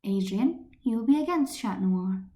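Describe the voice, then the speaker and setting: A woman's voice: medium pitch, animated, moderate pace, mellow, close to an everyday voice. A woman voicing a firm, orderly female schoolteacher with an instructive manner, in an amateur story reading. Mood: directing.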